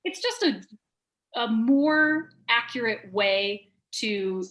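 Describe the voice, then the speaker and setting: A woman, a guest on a business interview, talking quickly and openly and filling her speech with "like". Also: a little high. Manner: rapid and animated, lots of "like" fillers. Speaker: guest, sales trainer and business owner. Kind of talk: candid conversational interview.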